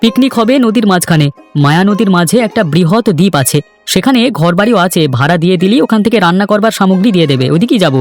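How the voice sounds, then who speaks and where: A man's voice: high-pitched, expressive, quick pace, soft background music. A man voicing a cheerful, sociable young village man in a cartoon story, eager and friendly.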